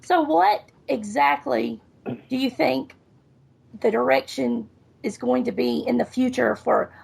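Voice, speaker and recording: A woman hosting a podcast interview, talking in a halting way and searching for her words. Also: on the high side, creaky, darkish tone, breathy edge. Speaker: podcast host. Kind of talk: casual interview.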